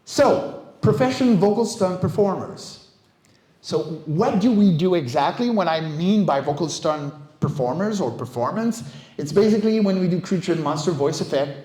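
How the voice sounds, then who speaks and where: A man's voice: a little high, darkish tone. Middle-aged male speaker, conversational and emphatic conference delivery, roomy live sound. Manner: conversational, emphatic, often checks with listeners. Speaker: guest speaker, vocal stunt performer and director. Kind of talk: casual conference talk. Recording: roomy, echoey live talk recording.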